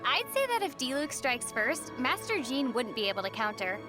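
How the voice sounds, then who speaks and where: A woman's voice: sits high, big pitch swings, normal speed. A woman playing a young, sensible traveler girl in a video game, with a wry, teasing manner. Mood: argumentative. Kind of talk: video game character dialogue. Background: music.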